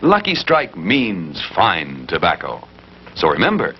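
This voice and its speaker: Swooping American commercial announcer, lowish voice. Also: male voice, wildly swooping pitch, unhurried. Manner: emphatic, demonstrative sales delivery. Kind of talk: scripted 1950s cigarette advertisement. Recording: muffled 1950s TV broadcast, faint noise.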